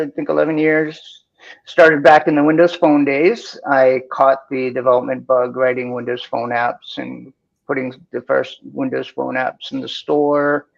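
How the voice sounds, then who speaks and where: A man from Boston speaks with a faint Boston accent and a gravelly, creaky edge. He explains technical steps with enthusiasm, filling pauses with "um." The audio is slightly dull, like a remote call mic. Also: medium pitch. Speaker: guest developer. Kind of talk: technical talk-show chat.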